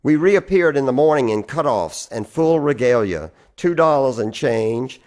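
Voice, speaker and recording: A man performing a dramatic spoken-word poetry reading, phrasing deliberately and with theatrical emphasis. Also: mid-range voice, darkish tone. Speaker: reader of poetry.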